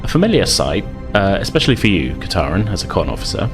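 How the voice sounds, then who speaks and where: A man running a tabletop roleplaying game as game master, narrating scenes in a halting, deliberate way. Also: sits low, huge pitch swings. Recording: faint music bed behind voice.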